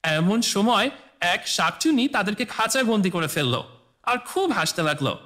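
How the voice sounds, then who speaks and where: A man's voice: high voice, animated, brisk, pushed and bright. A man narrating a scripted cartoon tale for children as the storyteller. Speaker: storyteller and channel host.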